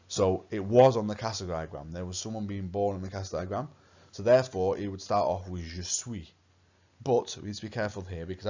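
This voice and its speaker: Man, teacher from England, very low voice, patient step-by-step explaining, muffled lo-fi audio. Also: a little mellow. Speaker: French teacher. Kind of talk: recorded lesson narration over slides.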